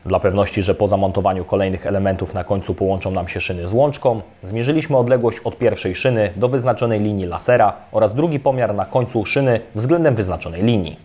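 Male voice-over, young adult, calm instructional read, lowish pitch, light music bed. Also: quick pace, hardly any pauses. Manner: calm, even, step-by-step instructional delivery. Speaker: electrician presenting a how-to video. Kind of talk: scripted voice-over narration. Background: soft guitar music.

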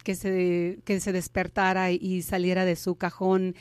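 A woman in her thirties, born in Mexico, speaking as an interview guest and telling her story candidly with pauses and restarts. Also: lowish voice, monotone, darkish tone, clean tone, no room sound. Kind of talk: conversational interview.

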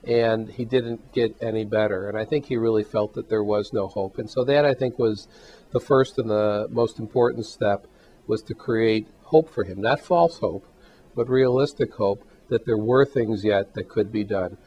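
An older man, a heart failure physician, explaining things in a measured way in a hospital exam room. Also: lowish voice, a little mellow, slight background noise. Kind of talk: interview testimonial. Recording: slightly dull sound.

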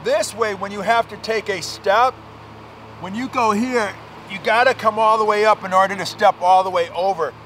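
Energetic man in his forties, high voice, fitness coach outdoors, faint traffic behind. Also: moderate pace. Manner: energetic, emphatic, casual slang. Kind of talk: outdoor workout demonstration. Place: outdoor park playground.

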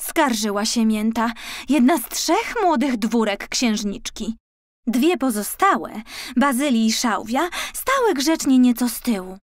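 A woman's voice: fairly high pitch, animated, unhurried, dry recording, clean studio sound. A woman storyteller narrating a children's audiobook.

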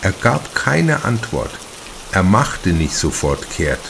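A man reading a crime novel as an audiobook narrator, in a measured, deliberate manner with careful pauses. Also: sits low, very animated, gravelly, a bit bright, slight background noise, low-quality recording.

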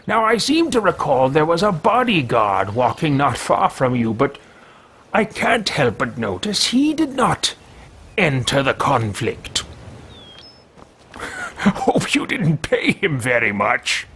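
A man in his thirties playing a haughty, greedy mage who mutters to himself in a pompous, drawn-out way, recorded at home. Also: fairly high pitch, a bit bright, full character voice. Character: haughty male mage-thief, self-talking, greedy. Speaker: let's play host. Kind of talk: in-character game roleplay. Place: home room with bookshelves.

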